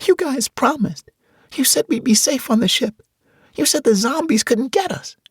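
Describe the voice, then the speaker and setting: A man's voice: very high-pitched, expressive, normal speed, slightly rough, no echo, studio quality, voice pushed up, exaggerated voice. A man voicing a scared, tearful young girl who pleads and whimpers. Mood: whimpering.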